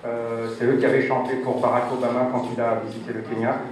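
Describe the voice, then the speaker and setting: A man from Cameroon, a music producer, answers questions at a press conference with level, flat intonation and many hesitant pauses. He sounds distant from the microphone in a very echoey hall, with a crowd murmuring behind him. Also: mid-range voice. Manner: steady, even delivery with frequent hesitations.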